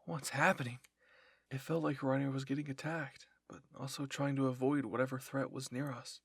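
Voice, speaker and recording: A man reads fan fiction aloud in an intense, emotive style. His voice is husky and breathy, recorded dry and close with no room sound. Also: mid-range voice, little pitch movement, moderate pace, a bit bright, no echo. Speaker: narrator of fan fiction roleplay. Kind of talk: dramatic first-person story reading.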